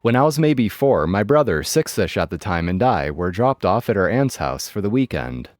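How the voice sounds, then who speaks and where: Lively low-voiced male story narrator. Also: normal speed, no room sound, clean studio sound, faint music behind. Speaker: narrator reading online stories.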